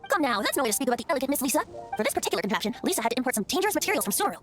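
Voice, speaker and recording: Boyish bard in an anime game, high n quick, teasing, kinda theatrical. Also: female voice, very animated, fairly bright tone, soft background music. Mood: cheerful, chatty. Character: playful boyish male bard, youthful, teasing, breezy. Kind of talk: anime-style video game voice acting.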